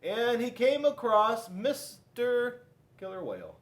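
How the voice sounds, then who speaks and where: Male storybook reader, high pitch, unhurried pace, playful, informal asides. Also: very animated. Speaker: police school resource officer reading to children. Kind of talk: animated children's storybook read-aloud.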